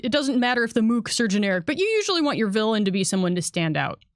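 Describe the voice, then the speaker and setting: A woman with a slightly high voice talks very quickly and informally as a game designer on a panel. She explains things off the cuff, and the sound is dry and close. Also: sing-song, no echo. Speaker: game designer, former editor. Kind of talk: unscripted panel chat.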